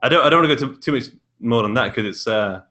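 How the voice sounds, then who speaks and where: A man's voice: medium pitch, fast, sharp tone. A British man chatting casually as a podcast guest, informal and self-deprecating. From England. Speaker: podcast guest, indie author and filmmaker. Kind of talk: casual chat.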